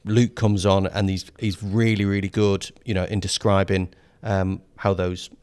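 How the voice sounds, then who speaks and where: A man's voice: sits low, little pitch movement, a little slow, darkish tone, smooth. A man with an English accent co-hosting a podcast indoors by a fire, talking in a chatty, jokey way with many fillers. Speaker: podcast co-host. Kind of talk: casual chat.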